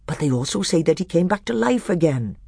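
A woman's voice: low-pitched, big pitch swings, brisk, gravelly, mellow, husky, dry recording, studio quality, pitched above the performer's own voice, exaggerated voice. A woman reading aloud, voicing a fierce, loyal talking badger who speaks sharply.

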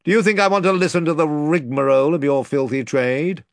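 A man's voice: a little high, a little fast, creaky, no room sound, clean studio sound, voice pushed up. A man voicing a stern, grim nobleman who speaks in clipped commands.

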